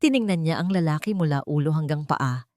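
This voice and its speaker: A woman narrating an audiobook romance story, speaking in an expressive storytelling manner that climbs higher at dramatic moments. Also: fairly quick, no echo, studio quality. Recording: faint background music.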